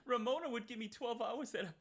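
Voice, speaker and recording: A woman informally reading a chat log out loud, play-acting the lines with mock sweetness. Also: on the high side, quick pace, a little hiss or hum. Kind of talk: informal read-aloud of a chat log with asides.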